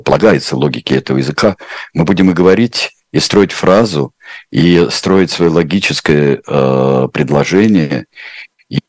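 A man with an extremely deep, low voice answers questions slowly and thoughtfully, filling his pauses with drawn-out hesitation sounds. The recording is dry and close, with no room echo. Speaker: translator answering viewer questions. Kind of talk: unscripted reflective answers.

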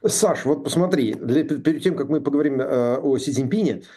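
A man in his sixties talking politics, indignant and sarcastic, with halting speech full of pauses and fillers. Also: mid-range voice, warm tone, husky. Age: 60s. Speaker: political commentator. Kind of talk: political discussion.

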